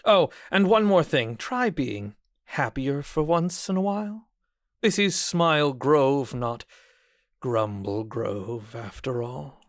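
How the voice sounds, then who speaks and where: A man's voice: medium pitch, lively intonation, dry recording, clean studio sound. A man playing a smug hospital examiner in an audio drama, condescending, falsely cheerful and coldly dismissive. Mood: mock-cheerful, condescending. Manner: slow, condescending, mock-pleasant. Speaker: hospital staff examiner character.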